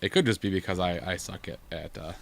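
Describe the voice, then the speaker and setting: A low-pitched man talks casually as he plays a video game with a co-host. He rambles and jokes, starting sentences haltingly, over faint background noise on a home recording. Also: vocal fry. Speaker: gaming video co-host and player. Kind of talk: casual banter while playing a game. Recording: noisy home gameplay recording.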